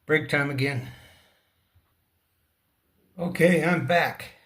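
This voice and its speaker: A man giving a casual hands-on repair demonstration, speaking in halting short fragments and muttering to himself as he works. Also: fairly high pitch, fairly bright tone. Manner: talks to himself and the object. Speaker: repair tutorial presenter.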